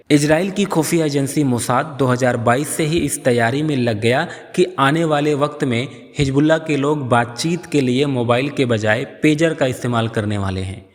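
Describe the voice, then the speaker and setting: A man giving scripted explainer narration in an explanatory, storytelling manner. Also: mid-range voice. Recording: long reverb on voice.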